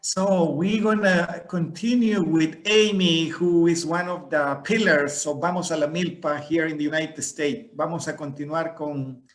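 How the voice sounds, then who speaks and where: A man with a slightly high voice moderates an online event. He acts as host and interpreter between two languages. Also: fairly even intonation, a little slow, hardly any pauses.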